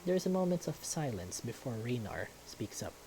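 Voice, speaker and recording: A man's voice: mid-range voice, sing-song, normal speed, noisy background. A man reading scripted first-person story narration from a visual novel. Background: music.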